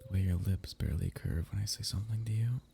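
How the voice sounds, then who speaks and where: A young man speaking softly and intimately in an ASMR roleplay, teasing and sometimes dropping into a whisper. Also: low-pitched, quick pace, creaky, slight background noise.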